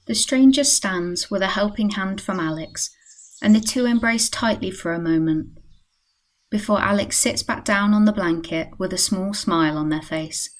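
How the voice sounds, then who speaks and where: A woman's voice: medium pitch, normal speed. A woman giving scripted narration for a radio play, reading out stage action.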